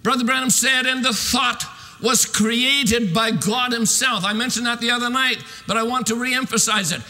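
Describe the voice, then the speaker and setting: A man in his sixties preaching a fervent sermon in an emphatic, declamatory way with drawn-out stressed phrases. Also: very high-pitched, sharp tone. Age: 60s. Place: large church sanctuary, at a pulpit. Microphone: podium microphone. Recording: reverberant hall sound.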